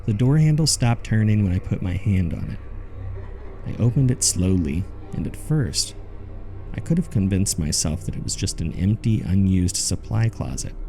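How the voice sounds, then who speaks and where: A grave, haunted man tells his horror story in a low, dark voice. Heavy creak runs through his somber, measured narration. The delivery is suspenseful, in the manner of a scripted audio drama. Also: very animated, soft background music. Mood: somber, fearful.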